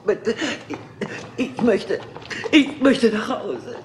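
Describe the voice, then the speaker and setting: An actress playing an aging, tired film star in a crime radio play, gracious but easily shaken. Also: female voice, mid-range voice, big pitch swings, takes it slowly, darkish tone, a little hiss or hum. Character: aging, weary female film diva.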